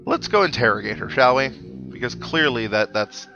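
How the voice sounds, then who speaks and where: A man's voice: medium pitch, moderate pace, gravelly. A man giving casual, rambling and wry commentary as he plays a video game, musing aloud. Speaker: host of a video game playthrough series. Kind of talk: casual let's play commentary. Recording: dull lo-fi mic under loud game music. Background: video game music.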